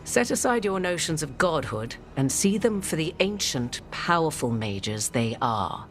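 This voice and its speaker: An actress playing an arch, enigmatic sorceress in a video game, with haughty, theatrical, archaic phrasing. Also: female voice, deep voice, sing-song, unhurried, fairly bright tone, a little hiss or hum, heavily acted. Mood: grave, advising.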